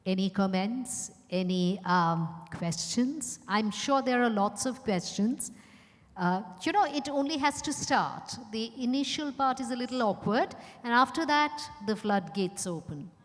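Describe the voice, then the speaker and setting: A woman's voice: on the high side, expressive, measured pace. A woman with an Indian accent moderating a live event in a conversational, encouraging way, prompting others to speak. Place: large indoor event hall at a hotel. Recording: reverberant hall, amplified live event.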